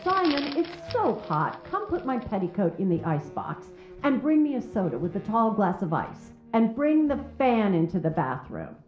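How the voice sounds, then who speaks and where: A woman's voice: mid-range voice, big pitch swings, normal speed, muffled recording, faint music behind, lightly acted. An actress playing a demanding, melodramatic, complaining middle-aged mother in a radio drama, nagging and querulous. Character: demanding, complaining, possessive mother of a grown son.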